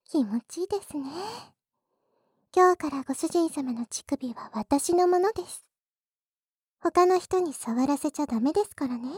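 A woman playing a coy, secretly lewd maid in an intimate adult ASMR roleplay, teasing her master in a breathy voice that often drops to a whisper. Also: high voice, lively intonation, moderate pace, a little mellow, no room sound, studio quality. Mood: teasing, possessive.

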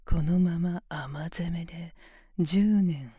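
A woman's voice: lowish voice, slow, mellow, husky, no room sound, exaggerated voice. A woman voicing a domineering, mocking female examiner in an ASMR roleplay, taunting and commanding in a close whisper. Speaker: voice actor in an audio roleplay.

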